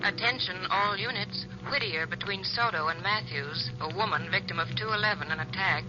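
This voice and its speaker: An actor playing a flat, official American police dispatcher, heard through a police radio in 1940s drama style. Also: male voice, high-pitched, moderate pace. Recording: muffled, noisy police radio transmission.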